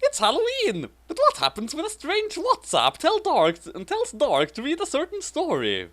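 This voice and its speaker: A woman's voice: high voice, huge pitch swings, normal speed. A woman co-hosting a comic fan fiction reading, switching between casual chat and theatrical, exaggerated delivery.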